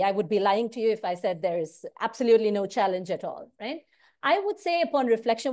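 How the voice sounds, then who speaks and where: An earnest, animated woman in her forties, originally from India, speaking as a senior business leader. Her voice sits a little high and she keeps checking in with "right?" as she explains. The sound comes through an earphone mic on a video call from a home room. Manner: earnest, animated, often tags lines with "right?". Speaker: consulting firm managing partner. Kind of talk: direct-to-camera talk on career and work. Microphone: wired earphone mic on a video call.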